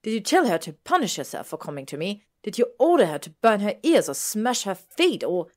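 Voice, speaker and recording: A woman's voice: lowish voice, lively intonation, normal speed, natural voice. A woman playing an earnest, headstrong teenage witch in a story, speaking with emphatic, pleading urgency. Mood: furious.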